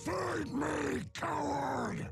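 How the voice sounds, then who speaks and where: A man playing a furious, brutal undead giant warlord in a video game, guttural and growling, slow and menacing, tormented and hungry for battle. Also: very high voice, vocal fry, exaggerated voice. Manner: slow, menacing, drawn-out delivery with roars. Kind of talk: video game character voice lines. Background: music.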